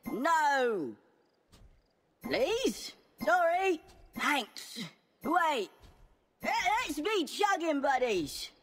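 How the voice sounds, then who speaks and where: A rowdy, drunk female junkyard robot from a video game. The voice is high and drags very slowly through slurred words. It is goofy and cheeky, with some noise and clicks from game effects around it. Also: fairly bright tone, full character voice. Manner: tipsy, playful, jokey. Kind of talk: video game character voice pack.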